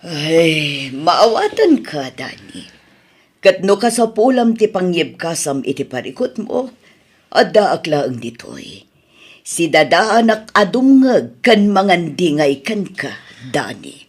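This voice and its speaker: Slow, husky motherly Ilocano madam, acted out by a woman. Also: lowish voice, big pitch swings, exaggerated voice. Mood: consoling. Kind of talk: Ilocano radio drama acting.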